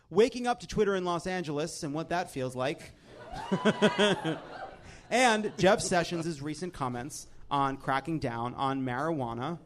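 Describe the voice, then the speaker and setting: A man hosting a comedic panel podcast, bantering with wry, teasing sarcasm. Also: fairly high pitch. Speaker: podcast host.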